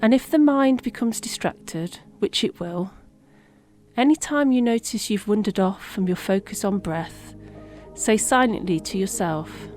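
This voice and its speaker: Slow-speaking woman guiding a meditation. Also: mid-range voice. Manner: gentle, unhurried delivery with long pauses. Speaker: meditation guide. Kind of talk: guided meditation narration. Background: relaxing music.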